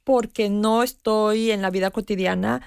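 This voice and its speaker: A woman in her fifties talking in a measured, reflective way as a radio guest. She pauses often and searches for the right word. The studio sound is dry and close. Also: medium pitch, steady tone, creaky, darkish tone, smooth, no echo. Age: 50s. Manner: thoughtful, measured, with frequent pauses and hesitations. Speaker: guest expert on language learning. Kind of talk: radio conversation.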